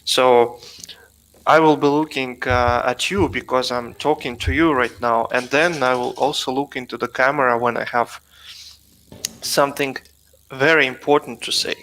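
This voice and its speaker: A man's voice: medium pitch, steady tone, moderate pace, fairly bright tone, a little hiss or hum, low-quality recording. A man from Russia, a guest on a video call, speaking casually with hesitant fillers and dry humor. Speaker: guest, plugin developer.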